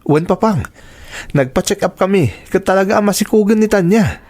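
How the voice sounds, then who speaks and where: An actor with an Ilocano accent playing a dutiful, caring young husband in a radio drama. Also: male voice, sits high, lively intonation, a little fast, darkish tone. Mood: excited, eager.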